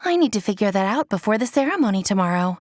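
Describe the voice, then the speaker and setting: A woman's voice: medium pitch, big pitch swings, fast talker, darkish tone, no room sound, studio quality. A woman voicing an eager, impulsive young mermaid princess in a storybook, youthful and dramatic. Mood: unsure.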